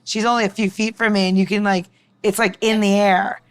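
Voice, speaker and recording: A woman's voice: medium pitch, flat delivery, brisk, clear, resonant tone. A woman mixologist giving a casual, informal demonstration, chatting and explaining as she goes. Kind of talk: casual cooking-style demonstration.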